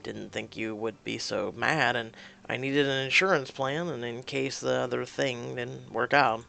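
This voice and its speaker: A narrator voicing a desperate, apologetic young man who pleads and defends himself haltingly, in an audio drama. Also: medium pitch, steady tone, vocal fry, pushed and bright, voice pushed down, full character voice. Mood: defensive.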